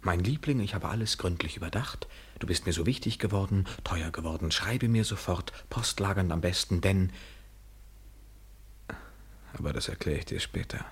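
A cool, teasing lover in an intimate radio play. His voice is low and husky, measured and wry, tender only in mockery. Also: exaggerated voice. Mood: wry, detached. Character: detached young male lover, teasing his mistress bitterly. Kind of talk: intimate radio drama dialogue.

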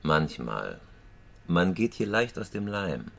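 An actor playing a guarded, hardened criminal in a radio drama, answering in laconic, deadpan short replies. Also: male voice, low voice, slow pace, vocal fry, heavily acted. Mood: terse, cool. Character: terse, guarded, hardened male criminal under interrogation.

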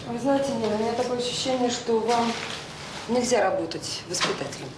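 An actress in an audio drama playing a firm, principled head of a children's home, speaking sternly. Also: female voice, fairly high pitch, brisk, lightly acted. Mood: firm, restrained. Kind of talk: Soviet radio drama acting. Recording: echoey, noisy radio-play recording.

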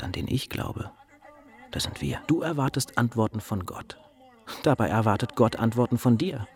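Earnest young man with a low voice acted. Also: a little mellow, close to an everyday voice. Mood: earnest, challenging. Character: earnest, self-doubting young student radio host. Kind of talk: radio drama first-person storytelling.

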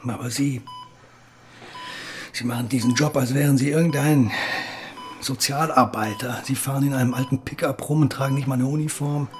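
A man's voice: medium pitch, steady tone, normal speed, long pauses, natural voice. Male, acting a tough small-town policeman for radio drama: mid-range voice, blunt, cocksure, combative. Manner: blunt, self-assured. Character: hard-line, combative policeman challenging the sheriff. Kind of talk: audio drama dialogue.

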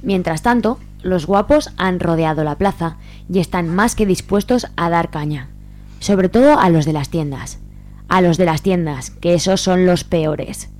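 A woman's voice: fairly low pitch, measured pace, a little mellow. A woman from reading scripted narration for a radio serial. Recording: faint hum and noise.

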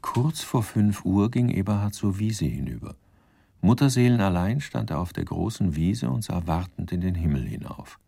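An actor as the wry, composed narrator of a radio crime drama, reading scripted literary narration. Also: male voice, low voice, expressive, moderate pace, gravelly, dark tone, slight background noise.

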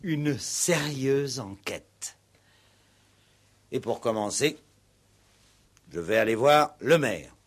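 An actor playing an indignant, determined village police commissioner in an emphatic, authoritative style of 1960s French radio drama. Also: male voice, mid-range voice, expressive, takes it slowly, pauses often, a little hiss or hum. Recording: old 1960s radio play recording.

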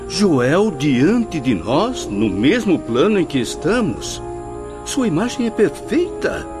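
An actor in a radio drama playing an astonished spirit onlooker, exclaiming theatrically. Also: male voice, a little high, big pitch swings, slow pace. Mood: amazed. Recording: music behind the voice.

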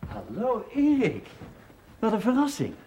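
A jovial, ironic executive in a TV drama, wordy and very animated in pitch, with faint noise behind him. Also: male voice, a little slow, lo-fi sound, full character voice. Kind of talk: television period drama acting.

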